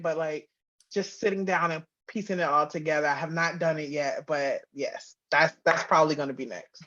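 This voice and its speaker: A woman giving a casual, informal interview, thinking aloud and repeating phrases as she goes. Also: lowish voice. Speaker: interview guest, audio drama writer. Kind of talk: casual chat. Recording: slightly dull, lo-fi audio.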